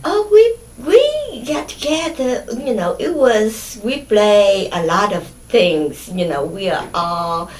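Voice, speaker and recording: A middle-aged woman from Vietnam with a Vietnamese accent, recalling her childhood in an oral history interview, pausing often with fillers and restarts. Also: medium pitch, lively intonation, roomy sound. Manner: hesitant, many fillers and restarts.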